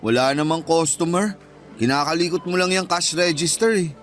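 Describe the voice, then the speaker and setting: A wounded young Filipino bagger, played by a man in a radio drama. His voice is notably high for a man, and he presses his friend with insistent, accusing questions. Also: moderate pace, natural voice. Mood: suspicious, confrontational. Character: hurt, confrontational young male supermarket bagger, close friend.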